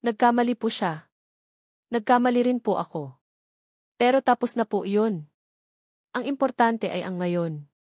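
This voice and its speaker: A woman's voice: on the high side, unhurried, long pauses, darkish tone, no echo, clean studio sound. A woman voicing a resolute, devoted young husband in a romance audio story, speaking firmly and with emphasis. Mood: earnest, pleading. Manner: firm, emphatic.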